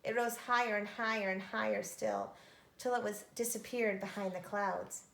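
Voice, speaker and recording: Woman, children's story narration, expressive, some vocal fry, echoey room. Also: mid-range voice, moderate pace. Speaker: storybook reader.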